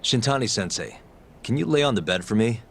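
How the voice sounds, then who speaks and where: An actor in an English game dub playing a composed, persistent young private detective with a wry, confident manner. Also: male voice, medium pitch, lively intonation, a little fast, slight background noise, natural voice. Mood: focused.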